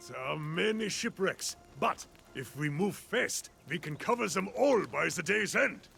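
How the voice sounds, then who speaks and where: A jovial, eager warrior mentor for a fantasy video game. The voice is heavily creaky and fairly high for a man, with slow, boisterous, swooping delivery. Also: animated, a bit bright, soft background music, heavily acted. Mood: upbeat. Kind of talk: video game cutscene acting.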